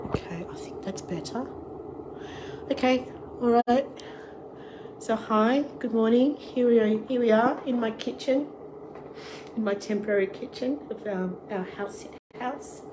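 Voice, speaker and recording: Hoarse Australian woman with a cold. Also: fairly high pitch, unhurried, long pauses. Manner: chatty, greets each viewer as they join. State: has a cold, losing her voice. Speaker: online tour and cooking class host. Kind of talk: live-streamed casual chat. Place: outdoor deck, then home kitchen. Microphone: device camera. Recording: wind and ambient noise outdoors.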